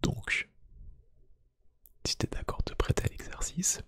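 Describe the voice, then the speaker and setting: A man doing a soft-spoken ASMR roleplay, gently whispering one-to-one to the listener in a hushed, breathy voice. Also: measured pace, dry recording. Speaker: ASMR YouTube creator. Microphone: close microphone.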